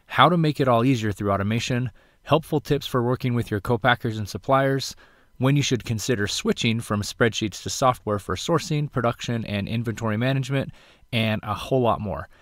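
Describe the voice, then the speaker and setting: A man's voice: medium pitch, fairly quick, fairly bright tone, no echo, clean studio sound. A man hosting the show, reading a friendly scripted introduction to the episode.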